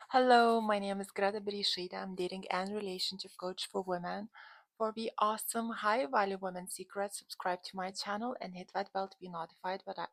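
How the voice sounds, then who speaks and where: Lithuanian woman chatting to camera like a coach, lots of vocal fry, really dry recording, emphatic. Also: mid-range voice, a bit bright, no room sound, low-quality recording. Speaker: dating and relationship coach, channel host. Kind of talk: casual talk to camera.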